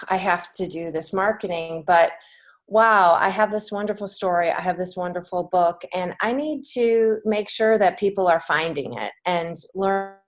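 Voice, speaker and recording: An American woman giving casual, encouraging answers as an author being interviewed on a podcast. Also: on the low side. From United States. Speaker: podcast guest, children's book author and creative writing teacher.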